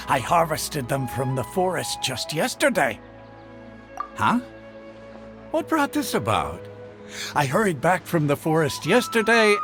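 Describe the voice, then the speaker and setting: Animated male market vendor. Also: fairly high pitch. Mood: puzzled. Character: plain-spoken male market produce vendor, easily baffled. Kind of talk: English game dub cutscene dialogue.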